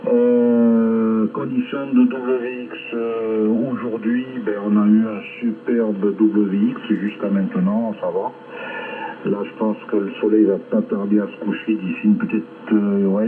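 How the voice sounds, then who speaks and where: Low-voiced man over band-limited ham radio. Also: flat delivery, narrow, tinny audio, noisy background. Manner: hesitant, rambling with long filled pauses. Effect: shortwave ham radio transmission. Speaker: amateur radio operator. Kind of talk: casual ham radio contact chat.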